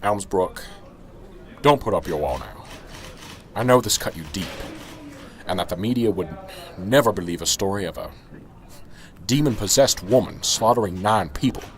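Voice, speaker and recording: Man acting a middle-aged small-town police sergeant, animated. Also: deep voice, normal speed, long pauses. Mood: chummy, solemn. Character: corrupt small-town police sergeant, chummy until cornered, then indignant. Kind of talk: amateur radio drama acting.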